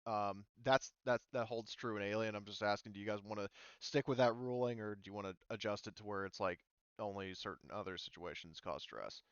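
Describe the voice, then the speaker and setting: Smooth low-voiced man as game master. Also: brisk, fairly bright tone, no echo, muffled recording. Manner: casual, explaining rules. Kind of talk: running a tabletop roleplaying game.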